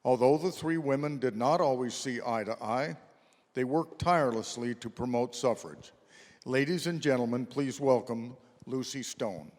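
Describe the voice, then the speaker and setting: A man's voice: mid-range voice, normal speed, darkish tone. A man delivering scripted narration for a readers theater stage presentation. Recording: echoey hall with long reverb.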